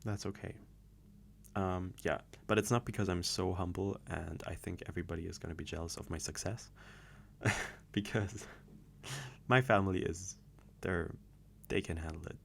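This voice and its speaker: A man's voice: deep voice, moderate pace, vocal fry, pauses often, a bit bright, slight background noise. A man chatting casually through viewer questions, rambling and hesitant with many restarts. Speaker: audio creator answering viewer questions. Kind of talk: casual Q&A monologue.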